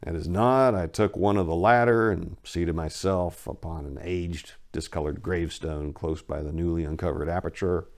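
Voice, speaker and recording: A man giving a deliberate, theatrical dramatic reading of a horror story as narrator, swelling on some words and dropping hushed on others. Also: deep voice, steady tone. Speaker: narrator, amateur story reader.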